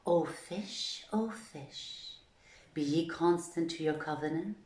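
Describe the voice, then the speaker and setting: In a roomy, echoing space, a woman plays a mysterious young enchantress of storybook legend. She chants slowly and softly, in solemn archaic phrases like a spell. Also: deep voice, recorded from a distance. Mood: hushed, solemn.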